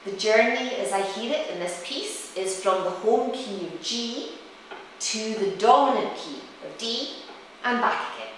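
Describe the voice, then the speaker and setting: A woman's voice: fairly high pitch, expressive, measured pace. Woman lecturing in a very echoey room. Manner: reflective, explanatory, storytelling delivery. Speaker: presenter, cellist and music teacher. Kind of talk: spoken lecture-demonstration on music. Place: reverberant indoor room. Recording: very echoey room, distant mic, faint noise.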